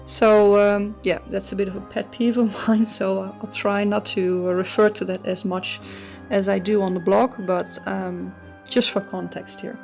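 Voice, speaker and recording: A woman's voice: mid-range voice, flat delivery, moderate pace, creaky, a little mellow, soft background music. A woman from the Netherlands with a strong Dutch accent, narrating a casual book review and thinking aloud as she goes. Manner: chatty, thinking aloud with frequent ums and restarts. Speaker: true crime channel host.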